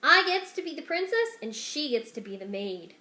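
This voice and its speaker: A woman's voice: high-pitched, very animated, moderate pace, pushed and bright, pitched above the performer's own voice, heavily acted. Woman playing a blunt, simple servant girl, echoey. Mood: eager, boastful. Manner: uneducated rustic grammar, blunt. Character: simple-minded, loud, eager young servant girl. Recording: roomy indoor echo.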